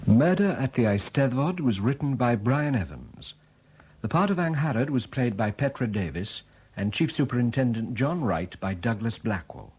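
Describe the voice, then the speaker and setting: Husky guy reading radio credits, formal but pitch moves around a lot, old 70s broadcast. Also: sing-song, normal speed, a little mellow, rough recording. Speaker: radio continuity announcer. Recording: dated 1970s radio broadcast recording.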